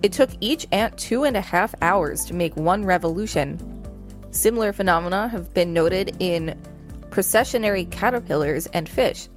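A woman with a slightly low voice hosts a podcast, moving between reading reference text and casual remarks. Her pace is ordinary, and soft music plays behind her. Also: expressive, moderate pace. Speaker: podcast co-host. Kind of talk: reading an encyclopedia article aloud with casual commentary.

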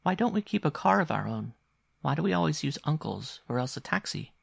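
Storybook small boy, played by a man: raised pitch, earnest, timid but stubborn questioner. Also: very animated, fairly quick, gravelly, slightly rough, no echo, clean studio sound, exaggerated voice. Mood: timid, curious. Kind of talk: literary audiobook character voice.

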